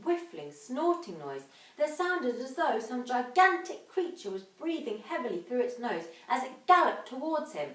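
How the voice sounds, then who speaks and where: A woman, an infant teacher reading and talking to young children in a dramatic, emphatic way. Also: medium pitch. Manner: dramatic, emphatic, drawn-out sound words. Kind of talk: expressive children's storybook reading and instruction. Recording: echoey room, distant microphone.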